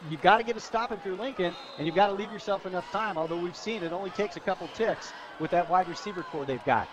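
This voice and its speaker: A man's voice: high-pitched, quick pace, a crowd in the background. A man with an American accent giving live football color commentary in a conversational, folksy way. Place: outdoor football stadium broadcast.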